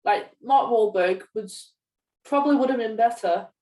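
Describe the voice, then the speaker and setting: A woman's voice: fairly high pitch, normal speed, mellow. A young woman chatting casually as a podcast co-host. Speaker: podcast co-host, media student. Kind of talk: casual film podcast chat. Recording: roomy echo, distant from mic.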